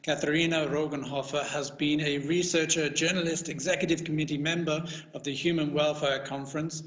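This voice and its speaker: This is a man acting as panel moderator, his voice set a little high. He reads prepared introductions formally, with measured flourishes. The room echoes around him and the audio is somewhat dull. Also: steady tone, a bit bright.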